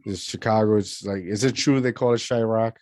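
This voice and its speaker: Low, dry-sounding man hosting a podcast. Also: steady tone, brisk, darkish tone, no echo. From Puerto Rico. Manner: slangy, swearing, informal. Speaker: podcast host. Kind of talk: casual chat.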